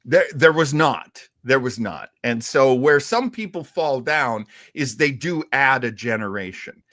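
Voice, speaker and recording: A man hosting a show, explaining in casual talk with a halting, thinking-aloud delivery. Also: mid-range voice, animated, fairly bright tone, no room sound, studio quality. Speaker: show host. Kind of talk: casual explanatory talk about old radio heroes.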